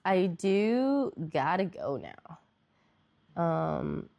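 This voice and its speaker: A woman's voice: fairly low pitch, sing-song, drawn-out words, vocal fry, dark tone, lo-fi sound. Congested young woman chatting on stream. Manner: rambling, lots of fillers. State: congested, nasal. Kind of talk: casual chat with viewers.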